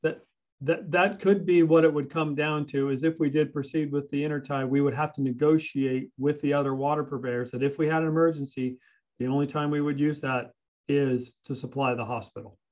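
A man speaking as a city staff member in a meeting, measured and hesitant, often pausing and repeating words. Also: a little high, steady tone, darkish tone. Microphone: video call. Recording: dull, lo-fi video call audio.

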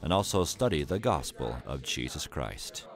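A man giving measured, scripted narration for a documentary feature. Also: rumbling low, sing-song. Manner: measured, formal delivery.

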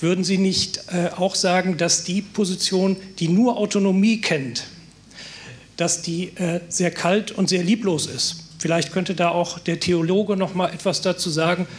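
A man with a fairly high-pitched voice addresses panelists from the audience. He is polite and articulate, laying out detailed questions in a formal style. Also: fairly even intonation, measured pace. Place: conference hall audience.

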